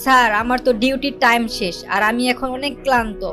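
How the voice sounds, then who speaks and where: A woman voicing a poor, humble young male student delivery worker, earnest and pleading, in an animated game story. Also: a little high, quick pace, faint music behind. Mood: weary pleading.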